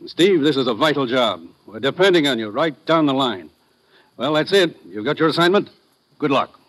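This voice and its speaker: A mid-pitched American commissioner played by a man in an old radio thriller. He gives orders briskly and with easy authority, like a boss briefing his agent. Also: lively intonation, fairly bright tone, natural voice, low-quality recording. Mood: brisk, authoritative. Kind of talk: 1950s radio drama acting.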